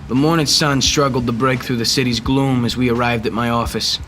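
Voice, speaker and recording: A man's voice: mid-range voice, fairly even intonation, a little fast, fairly bright tone, soft background music, lightly acted. A young man playing a tough, dry private detective with clipped delivery in a noir radio drama. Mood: firm, resolute. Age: young adult. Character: hard-boiled male private detective, tough, dry, determined. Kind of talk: 1940s radio noir acting.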